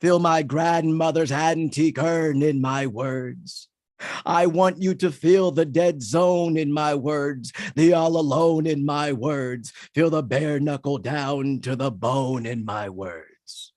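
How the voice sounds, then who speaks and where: Slow, dramatic male spoken word poet. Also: on the high side, darkish tone, dry recording, studio quality. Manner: emphatic, rhythmic, dramatic delivery with repeated refrains. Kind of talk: performed spoken word poetry recital.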